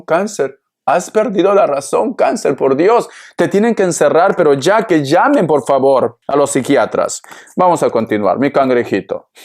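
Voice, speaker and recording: A man's voice: fairly high pitch, a little mellow. A man giving an informal tarot reading, addressing the listener with pet names and repeated phrases in a dramatic manner. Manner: dramatic, acts out imagined replies from the viewer. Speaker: tarot reader, host.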